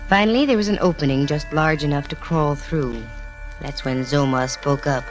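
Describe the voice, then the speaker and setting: An actress playing a wisecracking, cool-headed private detective in an old radio mystery, dry and sardonic. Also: female voice, low-pitched, moderate pace, loud music behind the voice, natural voice, poor audio. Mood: narrating. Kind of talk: 1940s-50s radio mystery acting and first-person narration.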